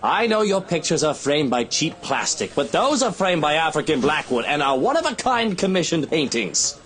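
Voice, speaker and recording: A man's voice: a little high, very animated, moderate pace, fairly bright tone. A man playing a fussy, snobbish, wealthy perfectionist husband in a visual novel, pompous and bossy. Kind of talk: visual novel character acting. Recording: guitar music behind the voice.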